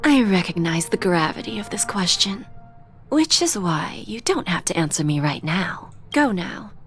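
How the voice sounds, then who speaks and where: An actress voicing a composed, patient, cryptic woman offering a bargain in a video game, speaking in a measured, formal, portentous way. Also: lowish voice, big pitch swings, fairly bright tone. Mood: persuasive, formal. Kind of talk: scripted game voice acting. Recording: game audio under clear music.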